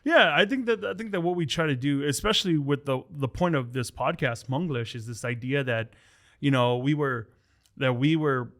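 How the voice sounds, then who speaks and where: A man's voice: medium pitch, normal speed, a bit bright. A man hosting a podcast, chatty and joking in casual conversation, often repeating words as he goes. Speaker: podcast host.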